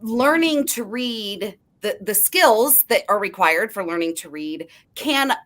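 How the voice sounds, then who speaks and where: A woman's voice: mid-range voice, expressive, takes it slowly, a bit bright. Earnest woman explaining literacy research. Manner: earnest, explanatory, restarts her sentences. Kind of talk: panel discussion.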